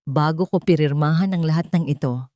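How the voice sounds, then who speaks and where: Woman playing a low-voiced, quietly menacing old mother. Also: brisk, no room sound, studio quality, lightly acted. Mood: quiet resolve. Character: elderly wronged mother, quietly menacing and defiant.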